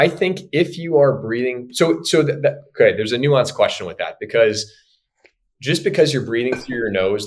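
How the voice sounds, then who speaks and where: Guy in his 30s, surgeon on a podcast, lowish voice, explains cases step by step. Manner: explanatory, talks through patient cases. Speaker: ENT surgeon guest. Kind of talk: casual podcast interview.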